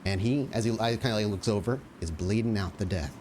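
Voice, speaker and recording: A man's voice: on the low side, a little fast, noisy background. A man playing a tabletop game, casually improvising narration of what his character does.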